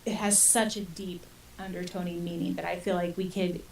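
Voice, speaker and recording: A woman's voice: fairly low pitch, flat delivery, normal speed, creaky, darkish tone. A young adult woman co-hosting a podcast, chatting casually and earnestly, recorded at some distance from the mic with hiss. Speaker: podcast co-host. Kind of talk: casual chat.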